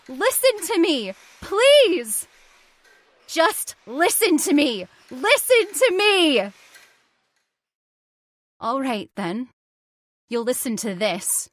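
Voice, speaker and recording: A high, youthful female voice of an actress plays an impassioned heiress in an old-time radio horror drama. She speaks with theatrical urgency, pleading earnestly for her ideals. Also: fairly bright tone, no echo, studio quality, exaggerated voice. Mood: desperate. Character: impassioned idealistic young heiress of island factory.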